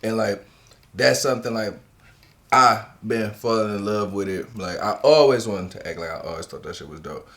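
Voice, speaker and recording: Deep-voiced young man, loose and profane. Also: normal speed, fairly bright tone. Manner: loose, profane, slangy talk. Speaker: host. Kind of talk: casual interview chat.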